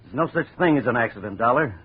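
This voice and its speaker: An actor playing a bitter, world-weary steelworker in a 1950s radio drama. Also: male voice, medium pitch, lively intonation, fast, breathy edge. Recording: tinny old radio broadcast.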